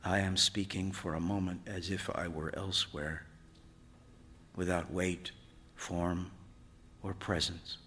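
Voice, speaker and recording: A man reading a literary short story aloud on stage, in a measured, expressive way that brings out the drama. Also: low-pitched, a little hiss or hum. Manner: measured, expressive, acts out dialogue. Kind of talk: dramatic literary narration read to an audience. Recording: reverberant hall, live stage reading.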